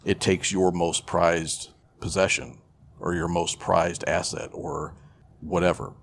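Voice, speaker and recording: A man's voice: low voice, level tone, takes it slowly, creaky. A man chatting casually as a podcast co-host, retelling a story in a profane, irreverent, rambling way. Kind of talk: casual chat, retelling a film plot.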